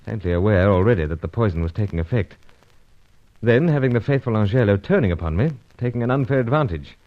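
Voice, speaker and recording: An actor playing a British secret agent hero with a British accent, speaking in a clipped, understated way in an old radio drama. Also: male voice, lowish voice, animated, fast talker, close to an everyday voice. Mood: narrating. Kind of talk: 1950s radio drama acting. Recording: dull lo-fi old radio recording.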